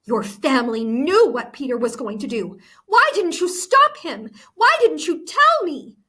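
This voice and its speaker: A woman's voice: high-pitched, big pitch swings, a little slow, fairly bright tone, pitched above the performer's own voice, exaggerated voice. A woman voicing an angry, hurt twelve-year-old girl in a book read-aloud, accusing and emphatic. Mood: furious.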